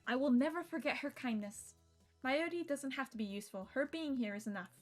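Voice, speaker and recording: High-voiced woman streamer reading a game aloud. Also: brisk, a little mellow. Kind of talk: reading visual novel narration aloud with casual asides. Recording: music behind the voice.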